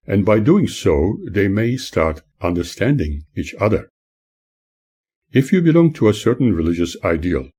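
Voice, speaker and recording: A man with a very low, husky voice reads prepared prose like an audiobook. His tone is dark and mellow. He is earnest and oratorical, moving through each phrase in a measured way. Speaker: author reading own book. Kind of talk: scripted narration, audiobook-like reading.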